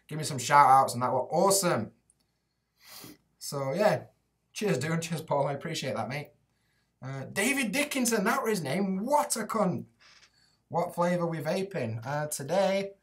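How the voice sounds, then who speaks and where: A man's voice: mid-range voice, far from the mic. A young man with a Yorkshire accent answering viewer chat on a live stream, casual and sweary with lots of "like" and "mate". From England, Rotherham area. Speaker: YouTuber living in Japan. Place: small room indoors with shelves behind.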